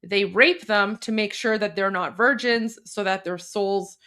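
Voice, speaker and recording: A woman's voice: mid-range voice, measured pace, bright, forceful tone, smooth. A woman hosting a casual livestream, chatty and full of fillers, Persian her mother tongue but speaking fluent English. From Canada. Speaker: livestream host.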